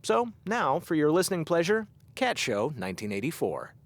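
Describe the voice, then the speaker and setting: An actor playing an awkward, hesitant small-town radio host who rambles dryly in an audio comedy. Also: male voice, a little high, huge pitch swings, moderate pace. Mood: presenting.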